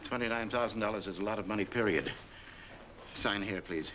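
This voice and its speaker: An actor playing a formal, courteous bank official in a 1940s film, with a dry, measured manner. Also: male voice, on the low side, sharp tone. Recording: noisy 1940s film soundtrack.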